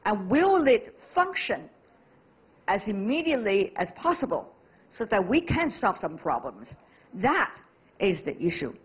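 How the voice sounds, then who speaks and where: Husky-voiced woman moderating a panel. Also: mid-range voice, sing-song, muffled recording. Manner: brisk, probing, quick to prompt guests. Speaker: TV debate host. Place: TV studio with audience.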